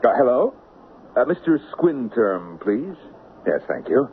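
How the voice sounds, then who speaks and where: An actor playing the Devil as a mocking, smooth-talking gentleman schemer in a 1970s radio drama. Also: mid-range voice, big pitch swings, measured pace. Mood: sly, persuasive. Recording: muffled, hissy old radio broadcast recording.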